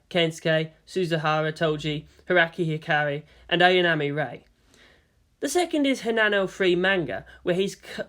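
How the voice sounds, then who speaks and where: A man reading a story as narrator in a dramatic, expressive scripted style. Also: a little high. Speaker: narrator of fan fiction.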